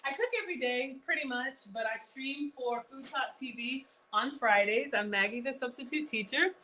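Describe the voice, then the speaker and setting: A woman's voice: sits high, normal speed, sharp tone, a little hiss or hum, low-quality recording. Woman, cooking show host, live stream, home kitchen, chatty, talks to viewers. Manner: chatty, talks straight to viewers, drawling asides.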